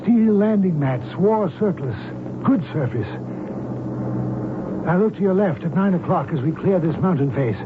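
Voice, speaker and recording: A tinny, noisy old radio drama recording carries a hijacker reverend. His voice sits fairly high for a man. He is formal and smug, issuing commands with cool menace. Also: expressive, measured pace. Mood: brisk, commanding. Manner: formal, commanding, coolly threatening.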